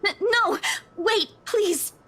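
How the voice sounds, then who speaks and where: A woman playing a guarded, anxious young office worker in a visual novel, speaking in a hesitant, halting way. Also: very high voice, sharp tone, full character voice. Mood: frightened.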